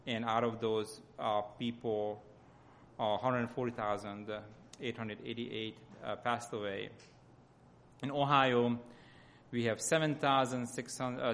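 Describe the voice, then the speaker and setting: A man with a Hungarian accent gives an informal sermon as a lay church speaker, often halting as he searches for words. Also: mid-range voice, a bit bright, slight background noise.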